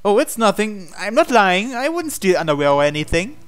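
A man reading visual novel dialogue aloud in character, with a hesitant, halting delivery. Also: high voice, big pitch swings, clear, resonant tone. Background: game music.

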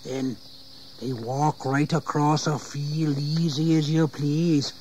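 A bitter indentured English servant in a history radio drama. He talks slowly in a rustic, grumbling yet deferential way. There is faint background noise. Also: fairly high pitch, little pitch movement, a little mellow. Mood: resentful. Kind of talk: dramatized audio history acting. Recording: 1980s audio drama, insect ambience.

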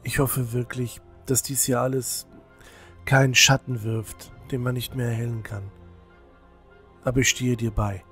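A loyal young temple novice, acted by a man, in a fantasy audio drama. He talks slowly in a measured, dutiful way, with soft music behind him. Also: medium pitch, level tone, natural voice. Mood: supportive, earnest.

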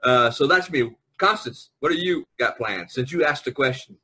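A middle-aged man chats casually as host of an online call. His voice is slightly husky at an ordinary pitch, and he rambles, halting and circling back over his words. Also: sharp tone. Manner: rambling, halting, repeats himself. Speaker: podcast host. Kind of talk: casual group chat. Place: online video conference call.

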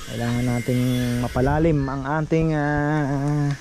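A man's voice: fairly high pitch, fairly even intonation, measured pace. A man giving a casual farm tour, chatting to his viewers as fellow farmers. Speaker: farm vlogger. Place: outdoors on a farm. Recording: noisy outdoor phone recording.

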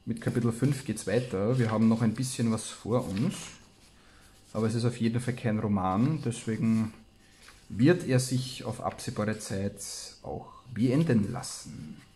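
A man's voice: lowish voice, measured pace, dark tone, a little hiss or hum. A man, hosting, reading aloud and commenting casually. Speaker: host. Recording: roomy, echoey room sound.

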